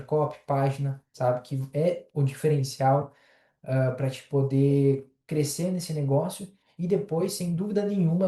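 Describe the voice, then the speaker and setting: A man chatting as a podcast guest, talking in a relaxed way with many pauses and filler sounds. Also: on the high side, echoey room. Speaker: podcast guest, affiliate marketer. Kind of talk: casual podcast chat.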